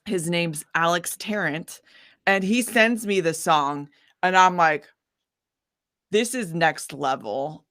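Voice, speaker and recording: A woman with a slightly low voice tells stories casually as an interview guest. She is animated and informal, often slipping into quoted dialogue as she goes. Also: slow pace. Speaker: singer-songwriter interview guest. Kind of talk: casual chatty interview storytelling.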